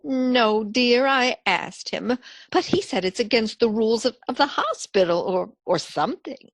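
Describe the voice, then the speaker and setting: A woman playing a gentle, genteel, slightly hesitant elderly sister in a radio comedy. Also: a little high, animated, measured pace, no room sound. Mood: earnest, gentle. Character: gentle, dainty elderly spinster sister, cheerfully macabre. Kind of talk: radio comedy theatre acting.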